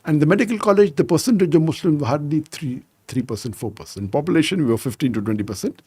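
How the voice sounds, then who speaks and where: A man in his sixties telling anecdotes as a podcast guest, measured and sprinkled with "you know". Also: on the high side, expressive, a little mellow, slightly rough, no room sound. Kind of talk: conversational storytelling interview.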